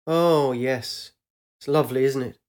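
A man doing a calm, guarded woman. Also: a bass voice, a little slow.

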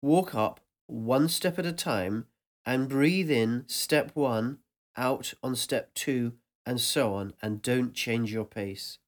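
A man's voice: mid-range voice, slow pace, close to an everyday voice. A man voicing the impatient, bossy inner voice in his own head. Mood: instructing.

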